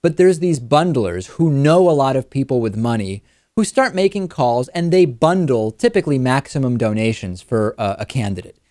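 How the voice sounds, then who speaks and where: A man with an American accent hosting a talk show, giving articulate, measured political commentary and interviews in a conversational way. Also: mid-range voice, animated, dark tone, no echo, studio quality.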